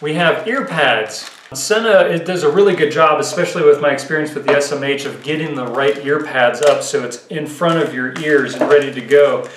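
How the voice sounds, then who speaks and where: A man's voice: a little high, normal speed, fairly bright tone. A man in his thirties giving a casual, explanatory gear commentary, thinking aloud in an informal way. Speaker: gear reviewer. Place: indoors, home living room at a table. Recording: roomy echo, clear background noise.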